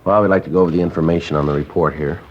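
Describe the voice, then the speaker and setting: An actor playing a terse, matter-of-fact police detective who narrates the case in clipped, businesslike sentences, in a 1950s radio drama. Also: male voice, a bass voice, very fast. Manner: clipped, flat, businesslike delivery. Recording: muffled vintage radio broadcast.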